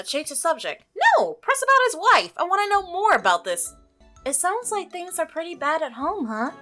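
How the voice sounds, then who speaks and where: A woman's voice: a little high, pushed and bright. A woman playing a visual novel, reading it aloud and reacting expressively, exclaiming and laughing at the story. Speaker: let's play gamer.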